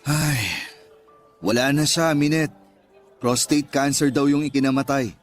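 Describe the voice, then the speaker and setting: An actor playing a patient, devoted young suitor in a Filipino radio drama, with a Filipino accent. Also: male voice, mid-range voice, steady tone, a little slow, faint music behind, natural voice. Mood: consoling, serious.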